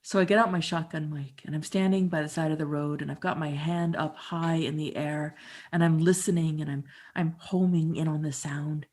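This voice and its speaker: A woman's voice: low voice, fairly even intonation, darkish tone. Woman in her fifties telling a story. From Canada. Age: 50s. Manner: intimate, conversational storytelling with dramatic pauses. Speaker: storyteller and musician. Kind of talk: theatrical first-person monologue.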